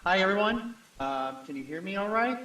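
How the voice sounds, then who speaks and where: A man's voice: high voice, animated, normal speed, pushed and bright. A man in his thirties from Costa Rica, an industry scientist introducing himself at a panel discussion. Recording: roomy echo, distant from mic.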